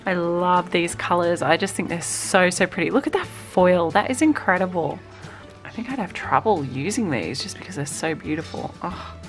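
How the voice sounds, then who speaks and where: An excitable woman unboxing stationery, her somewhat low voice a little nasal and stuffy from a cold. She chats along and coos at the cute bits, with soft music playing faintly underneath. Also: normal speed. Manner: chatty and excitable, cooing over small items. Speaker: vlogger unboxing a stationery subscription box. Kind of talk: casual unboxing chat.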